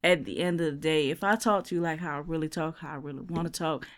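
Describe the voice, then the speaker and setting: This is a podcast co-host from Jamaica with no accent, chatting in a lively and casual way. They talk in quick bursts, slip into slang and keep the conversation moving. Their voice sits at a middle pitch. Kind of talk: casual chat.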